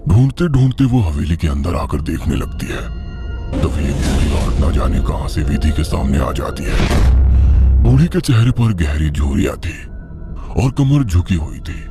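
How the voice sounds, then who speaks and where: A man's voice: low voice, very animated, measured pace, mellow. A man narrating a scripted horror story in a dramatic, suspenseful way. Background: background music.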